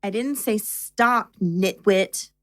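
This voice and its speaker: A woman's voice: mid-range voice, takes it slowly, no echo, studio quality, lightly acted. A woman playing a playfully bossy, affectionate young girlfriend in a naturalistic audio drama. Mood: sleepy, teasing.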